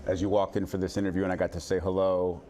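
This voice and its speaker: Low-voiced male interviewer. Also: level tone, quick pace, mellow. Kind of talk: interview questions.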